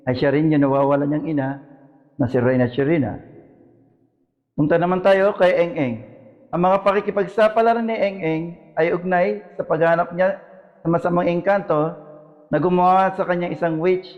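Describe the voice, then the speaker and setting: A man's voice: a little high, long reverb tail, phone-quality sound. A man with a Filipino accent giving scripted educational narration as the host.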